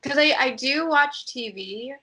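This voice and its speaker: A woman's voice: high-pitched, unhurried, bright, forceful tone, poor audio. A young American woman chatting casually as a podcast guest, rambling with lots of fillers. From United States. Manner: chatty, lots of likes and ums. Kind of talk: casual chat.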